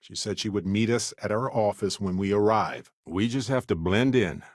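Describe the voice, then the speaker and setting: Actor as a wry, low-voiced male space explorer. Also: moderate pace, no echo, studio quality, lightly acted. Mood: alert. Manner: wry, playful, expressive. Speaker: video game voice actor. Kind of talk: acted video game companion dialogue.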